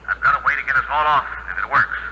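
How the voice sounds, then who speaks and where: A man's voice: mid-range voice, very fast, pushed and bright, faint music behind. An actor playing an earnest, urgent spaceship crewman with insistent, clipped speech in a 1950s radio drama. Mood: urgent, commanding. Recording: thin, faintly noisy 1950 radio broadcast.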